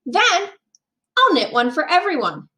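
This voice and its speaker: A woman's voice: very high-pitched, wildly swooping pitch, a little slow, bright, forceful tone, pitched above the performer's own voice, exaggerated voice. A woman voicing an unbothered, self-assured little girl in a storybook, speaking in a simple, matter-of-fact way.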